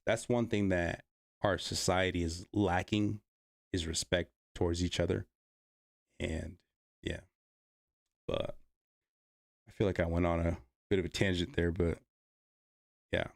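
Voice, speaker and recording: A man's voice: deep voice, steady tone, a little slow, creaky, pauses often, dry recording, studio quality. A man from the Bay Area, hosting a casual livestream, reading chat and rambling with many fillers and restarts. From Bay Area, California.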